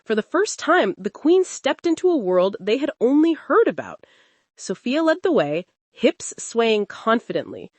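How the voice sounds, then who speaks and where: A woman storyteller giving an expressive, suspenseful narration of a scripted dramatic folktale. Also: fairly high pitch, unhurried, no echo.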